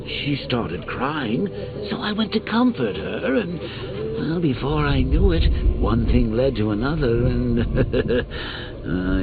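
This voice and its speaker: A man's voice: medium pitch, vocal fry, narrow, tinny audio. An actor playing a sadistic, mocking male clown villain in a video game, taunting and theatrical, breaking into cackles. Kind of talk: game voice lines.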